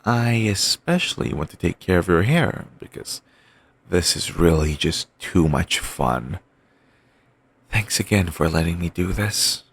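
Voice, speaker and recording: Man playing obsessive boyfriend, soft rambling, deep voice, lively lilting delivery. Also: a little slow, vocal fry, husky, no room sound, exaggerated voice. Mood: doting. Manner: soft, rambling, affectionate murmuring. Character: obsessive doting young adult boyfriend. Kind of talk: roleplay audio, bedtime comfort.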